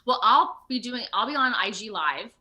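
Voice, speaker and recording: A woman speaking as a voice actor guest in a casual, chatty interview, expressive and thinking aloud as she goes. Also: fairly high pitch, moderate pace, highs cut off. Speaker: guest, video game voice actor. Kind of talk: casual animated interview chat. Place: remote video call.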